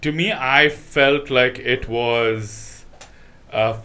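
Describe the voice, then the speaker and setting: A man guest on a comedy chat show, joking and telling animated stories while talking outdoors. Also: medium pitch, drawn-out words, background noise. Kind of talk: casual chat.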